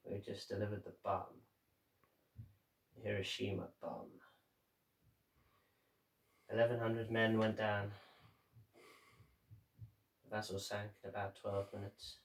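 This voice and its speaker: Distant roomy recording, man performing a grim navy survivor, flat intonation, measured dramatic monologue, halting pauses. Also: fairly low pitch. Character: haunted, grim male sailor survivor recounting a wartime sinking. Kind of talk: dramatic film monologue recital. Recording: roomy echo, distant microphone.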